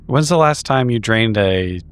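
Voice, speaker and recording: A man's voice: medium pitch, lively intonation, measured pace, a bit bright, faint music behind. A man playing a dry, wry investigator in a horror tabletop roleplaying game.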